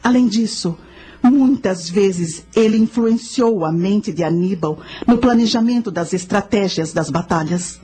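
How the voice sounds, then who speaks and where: An actress playing a knowing spirit guide, telling ancient history and teaching in a measured, solemn way in a radio drama. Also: female voice, mid-range voice, mellow, slightly rough. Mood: instructive. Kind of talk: scripted radio drama narration.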